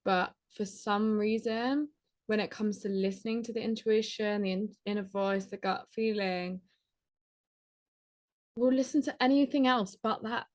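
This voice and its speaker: A woman's voice: mid-range voice, fairly even intonation. Woman, podcast guest, chatty and casual, mid-range voice. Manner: chatty, lots of "like", quick laughs. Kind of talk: casual conversational interview.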